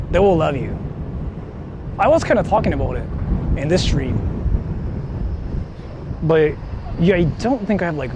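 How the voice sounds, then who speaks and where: A man rambles casually to his livestream viewers in a fairly high, animated voice. His delivery is jokey and loose. He is recorded outdoors, with clear traffic and city noise around him. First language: Japanese. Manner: jokey, rambling, reading and answering chat. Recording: noisy outdoor street with traffic. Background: cars and urban street noise.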